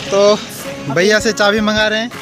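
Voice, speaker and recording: A man's voice: high-pitched, little pitch movement, moderate pace, over music. A young man from the hills of northern India, narrating a casual travel vlog on a phone held at arm's length outdoors and in a car, talking chattily to viewers. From Uttarakhand hills, India. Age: young adult. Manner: chatty, addresses viewers directly. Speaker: vlogger. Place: outdoors on hill roads and in a car. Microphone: handheld phone camera at arm's length.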